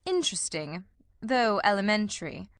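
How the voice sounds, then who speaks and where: A woman playing a shrewd, self-assured male detective in a dramatized audiobook, with measured, condescending, precise delivery. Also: medium pitch, very animated, no echo. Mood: analytical, condescending.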